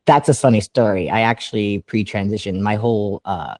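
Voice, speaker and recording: A man with a lowish voice talks casually as an interview guest. He is animated, his pitch leaps upward when he gets excited, and he laughs often. The sound is close and dry, with no room around it. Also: a little fast, dry recording. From Canada. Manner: trained classical singer. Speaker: guest musician.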